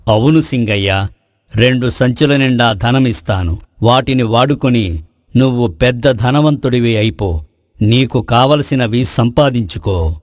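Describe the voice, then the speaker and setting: Man voicing a measured, mysterious talking tree, dry sound. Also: medium pitch, no room sound, close to an everyday voice. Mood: calm, tempting. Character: magical talking forest tree, calm tempting bargainer. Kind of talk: Telugu moral story voice acting.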